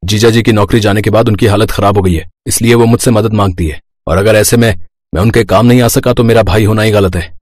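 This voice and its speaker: A man's voice: fairly low pitch, steady tone, a little fast, darkish tone, no echo, lightly acted. Man voicing an earnest, protective younger brother. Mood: indignant. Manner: earnest, reassuring. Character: helpful, protective younger brother of married sister. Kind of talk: Hindi moral story voice acting.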